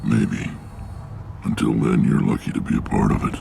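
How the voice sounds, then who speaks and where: A massive alien warlord from a video game, with an extremely deep, heavily creaking voice. He speaks bluntly and with weathered authority, as a leader of clans, over clear background rumble. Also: flat delivery, moderate pace, exaggerated voice. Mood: stern. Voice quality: gravelly, rumbling. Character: huge gruff alien warlord clan leader, commanding, weathered.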